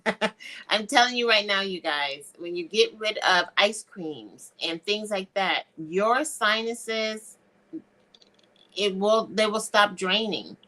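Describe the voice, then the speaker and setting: Bright-voiced bubbly woman hosting. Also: medium pitch, slow, rough recording. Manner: bubbly, addresses viewers directly. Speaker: host. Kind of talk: casual health talk show chat.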